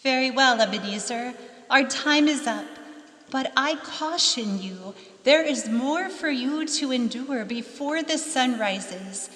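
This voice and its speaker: Lady doing solemn stern lady spirit in a radio play, highish voice, big echoey hall. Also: animated, slow, fairly bright tone, exaggerated voice. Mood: warning. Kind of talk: live-audience radio play acting. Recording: echoey hall with long reverb.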